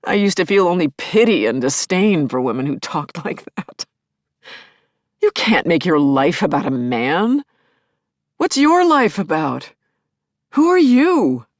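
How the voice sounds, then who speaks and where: A woman's voice: on the low side, big pitch swings, unhurried, pauses often, no room sound, studio quality. An actress playing a grieving middle-aged widow in an audio play, telling her story in a searching, intimate way that can drop toward a whisper. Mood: searching, self-questioning. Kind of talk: dramatic first-person monologue, audio play.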